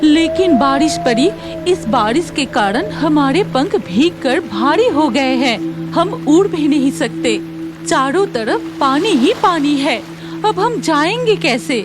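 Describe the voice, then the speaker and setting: A woman's voice: high voice, expressive, slow, music in the background. A woman voicing a cautious, observant cloud fairy in a cartoon story, with questioning exclamations. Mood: worried. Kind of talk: Hindi cartoon story dialogue.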